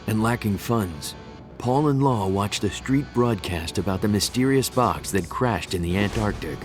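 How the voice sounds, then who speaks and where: Male game narrator over loud music. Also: fairly low pitch, moderate pace. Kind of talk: scripted video game story narration.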